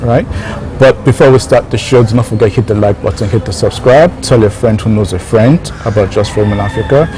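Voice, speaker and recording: A man's voice: lowish voice, brisk, poor audio. A man hosting an informal online talk show, addressing viewers directly and repeating phrases for emphasis. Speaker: show host and founder.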